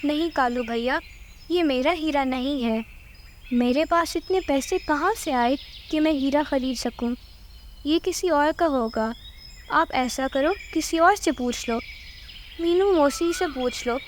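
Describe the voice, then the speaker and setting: A woman's voice: high voice, a little slow, a little hiss or hum. A woman playing an honest, humble mother sparrow who speaks plainly and politely in a children's cartoon. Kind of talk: Hindi cartoon dubbing.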